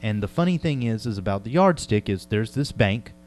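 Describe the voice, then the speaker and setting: A man's voice: on the low side, animated, a little fast, darkish tone. A man chatting casually and conversationally as an interviewer. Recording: clear background noise with hum.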